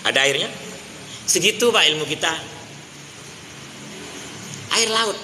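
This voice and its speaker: A man preaching a sermon, questioning his listeners and chanting verses slowly. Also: fairly high pitch, animated, pushed and bright. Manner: addresses listeners as Pak, asks the crowd questions. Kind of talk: mosque sermon. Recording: hall reverb, faint noise.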